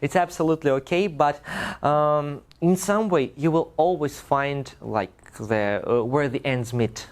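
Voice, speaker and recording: Animated man with a Russian accent. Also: on the high side, measured pace, few pauses. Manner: animated, laughs often, frequent restarts. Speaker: workshop host. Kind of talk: casual group discussion.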